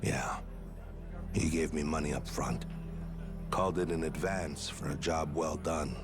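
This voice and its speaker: Deep voiced guy, weary guilty tough type, game cutscene, loud music under. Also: steady tone. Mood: regretful, confessing. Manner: slow, halting, heavy delivery. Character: weary, guilt-ridden tough man confessing a betrayal. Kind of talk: dramatic video game cutscene acting.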